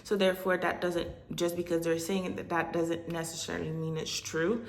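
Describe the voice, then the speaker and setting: A woman whose first language is Haitian Creole, from Hispaniola, delivering a religious message straight to camera in a halting way, with frequent pauses and filler sounds. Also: fairly low pitch, little pitch movement, vocal fry. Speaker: religious vlogger. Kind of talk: direct-to-camera prophetic message. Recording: echoey room.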